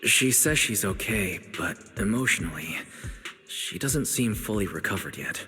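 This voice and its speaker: Young manager in a game: lowish voice, calm, caring, measured, light music behind. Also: male voice. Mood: gently reassuring. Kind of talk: scripted video game voice acting.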